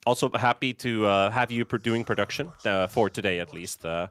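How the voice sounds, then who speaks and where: A man with a Bulgarian accent doing live esports commentary, a bit nasal and slightly sore from a flu, relaxed and chatty with many fillers. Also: fairly low pitch, brisk. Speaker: esports caster.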